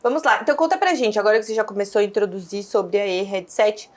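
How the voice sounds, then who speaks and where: A woman's voice: mid-range voice, fast. A woman hosting an interview show, opening it in an upbeat, presenter-like way and asking questions. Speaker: host.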